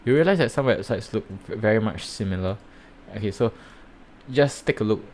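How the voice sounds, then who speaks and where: A man with a Singaporean accent giving rambling, halting commentary while live-streaming reviews of projects. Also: fairly low pitch, lively intonation, a little mellow, a little hiss or hum. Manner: rambling, halting, many fillers and restarts.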